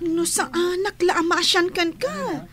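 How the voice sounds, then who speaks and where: A woman's voice: very high voice, animated, unhurried. A woman playing a reproachful young female student in a radio drama, with a pointed, chiding manner. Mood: accusing. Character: reproachful young female classmate threatening to tell. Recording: background noise.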